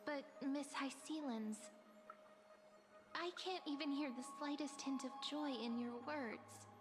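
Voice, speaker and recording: An actress playing a small, polite, earnest young girl adventurer in a video game, gentle and inquisitive in manner. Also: sits high, measured pace. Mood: thoughtful, probing. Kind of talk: scripted video game voice acting. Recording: reverberant hall, game music behind.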